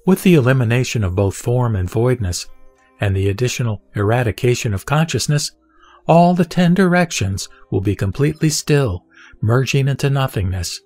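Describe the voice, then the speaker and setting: A man giving a measured, solemn reading of a Buddhist scripture. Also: medium pitch, lively intonation, dry recording. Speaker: sutra reciter. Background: soft background music.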